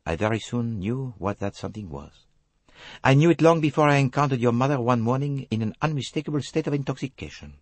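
A courteous, shrewd older foreign detective, acted out by a male audiobook reader. He speaks formally and with slow emphasis, his husky voice moving in lively swings of pitch. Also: no room sound, close to an everyday voice. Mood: grave, insistent.

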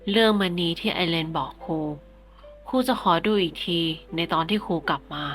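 A woman reading a calm, firm teacher. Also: on the low side, normal speed, lightly acted. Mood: instructing. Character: calm adult female teacher, caring and firm. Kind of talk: reading comic dialogue aloud. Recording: echoey, long reverb, faint music.

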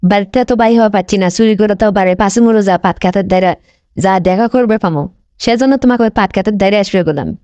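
A woman's voice: mid-range voice, very fast, mellow, no echo, lo-fi sound, lightly acted. A woman voicing a pushy, lovestruck young village girlfriend in a comedy cartoon, insistent and coaxing, with a North Bengal accent. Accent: North Bengal Bangladeshi.

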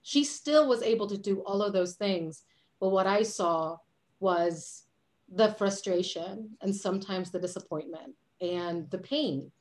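Woman from Puerto Rico, animated, gesturing, webcam audio, home office. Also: mid-range voice. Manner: animated, gestures a lot with her hands. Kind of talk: video call conversation. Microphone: webcam on a video call.

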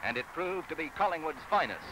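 A man's voice: fairly high pitch, animated, quick pace, very noisy. An Australian man narrating a sports newsreel in scripted 1950s commentary style, with wry, colourful phrasing on a vintage soundtrack. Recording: vintage newsreel soundtrack, loud music behind. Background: loud music.

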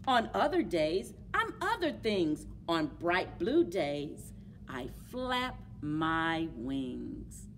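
A middle-aged woman hosting a children's story time in an animated, encouraging way, as if leading little listeners along. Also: fairly low pitch, very slow. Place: indoors among library bookshelves. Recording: clear background noise, room ambience.

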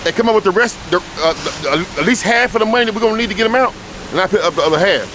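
A man's voice: high-pitched, animated, rapid-fire, background noise. A man giving a blunt, profane lecture in casual street talk, speaking into a handheld phone in a rainy parking lot. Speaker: street interviewer.

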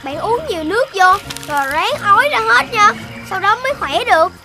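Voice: extremely high pitch, big pitch swings, fairly quick, heavily acted. A performer voicing a clever, caring young puppy leader in a children's cartoon, earnest and instructive, with a southern Vietnamese accent. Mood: reassuring. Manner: earnest, instructive, taking charge. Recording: music behind the voice.

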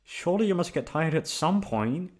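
A man's voice: a little high, animated, normal speed, a little mellow. A man acting as a teasing, affectionate boyfriend in an intimate ASMR roleplay, coaxing and pleading playfully. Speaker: voice actor performing an audio roleplay.

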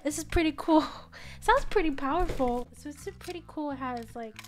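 Brief: young woman, high bright voice, soft and bubbly, home studio mic, faint music behind. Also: moderate pace. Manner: bubbly, chatty, frequent gasps and laughs. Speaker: product reviewer. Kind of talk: casual product review. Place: small home studio room, indoors. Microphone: studio mic on boom arm.

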